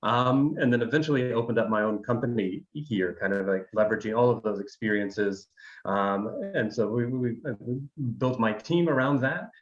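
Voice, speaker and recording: A man's voice: fairly low pitch, moderate pace. A man in his thirties from Alabama, a design firm founder talking through his career in a loose, rambling way with many ums. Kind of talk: conversational interview. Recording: dull, lo-fi sound.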